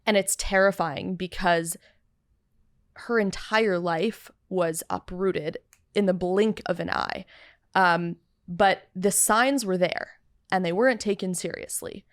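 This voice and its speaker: A woman's voice: fairly low pitch, slow, dry recording. A young woman student speaking seriously and reflectively as a guest in a studio interview. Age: young adult. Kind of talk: conversational interview. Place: dark studio set with sofas. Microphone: studio mic on a boom arm.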